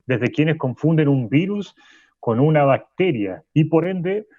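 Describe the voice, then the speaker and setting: A man's voice: fairly high pitch, animated, unhurried, dry recording, narrow, tinny audio. A man playing a pompous professor. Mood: lofty. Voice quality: lecturing, self-important. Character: pompous, condescending male professor, self-assured. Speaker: sociology professor guest. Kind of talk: radio drama acting.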